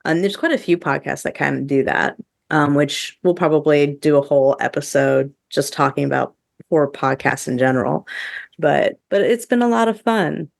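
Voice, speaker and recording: A woman with a low, noticeably creaky voice chats as a podcast host. She is enthusiastic and informal, and her talk is full of "like". The sound is dry and close. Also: moderate pace, dry recording. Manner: chatty, enthusiastic, heavy use of "like". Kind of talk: casual podcast review chat.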